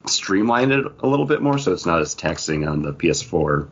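A man co-hosting a podcast, chatting in a relaxed way and sharing news items. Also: deep voice, a little fast, slightly rough. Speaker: podcast co-host. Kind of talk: casual chat. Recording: dull lo-fi sound.

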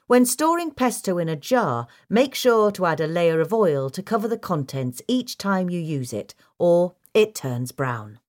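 A woman's voice: on the low side, big pitch swings, measured pace, hardly any pauses, darkish tone. A woman reading a scripted instructional piece as a narrator. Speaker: narrator reading a gardening article.

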